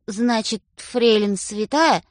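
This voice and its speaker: A woman voicing a stubborn, capricious little boy who speaks slowly and hesitantly. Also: mid-range voice, expressive, no echo, studio quality. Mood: sulky.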